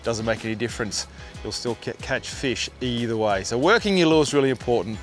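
A man's voice: mid-range voice, fairly quick. A middle-aged Australian man hosts a fishing vlog in a mid-range voice. He explains technique in an informal, matey way, as if to a friend. He is speaking outdoors on a small boat, with music behind him. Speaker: fishing show host. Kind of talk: casual instructional fishing commentary.

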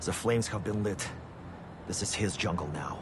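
An actor playing a weary, fearful Russian shopkeeper, terse and guarded, in a video game cutscene. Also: male voice, deep voice, expressive, normal speed, background noise. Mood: grim, guarded. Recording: music behind.

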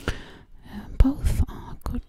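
A woman voicing a sinister, obsessive witch in a close, breathy ASMR roleplay, repeating words hypnotically. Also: extremely slow. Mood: gloating, torn. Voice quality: breathy whisper. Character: sinister, obsessive female witch hunting for victims. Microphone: close microphone.

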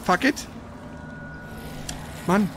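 Male let's player, casual and excitable, colloquial live game commentary over clearly audible game noise. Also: very slow. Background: loud video game sound.

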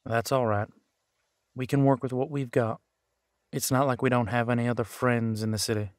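A steady, level-headed male detective voiced by a man in an audio drama. His tone stays even and flat, matter-of-fact, in a dry, close recording. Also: medium pitch, moderate pace, dry recording, lightly acted.

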